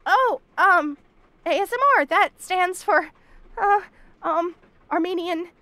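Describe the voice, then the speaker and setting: A woman's voice: very high-pitched, slow, pushed and bright, no room sound, heavily acted. A woman voicing a cute, bashful bunny girl roommate in a roleplay audio, stammering and evasive in a flustered way. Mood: nervous, evasive. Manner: stammering, nervous, flustered denial. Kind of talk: roleplay audio acting, addressed to the listener.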